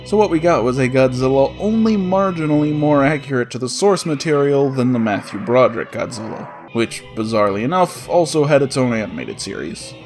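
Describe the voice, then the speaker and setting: A man hosts a comedic cartoon review in a sarcastic, sweary way. His voice sits at an ordinary middle pitch, and faint music plays along with his scripted narration. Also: lively intonation, a little fast, hardly any pauses, a little mellow. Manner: sarcastic, profane. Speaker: host of a comedic review show.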